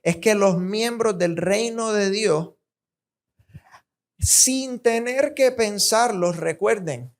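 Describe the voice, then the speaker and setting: Slow-preaching Puerto Rican man. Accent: Puerto Rican Spanish. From Puerto Rico. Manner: emphatic, rhythmic repetition, rising pitch on key points. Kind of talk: sermon.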